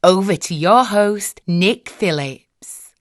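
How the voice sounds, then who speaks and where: A woman's voice: mid-range voice, slow pace. A woman reading a polished, scripted podcast intro as an announcer. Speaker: show announcer.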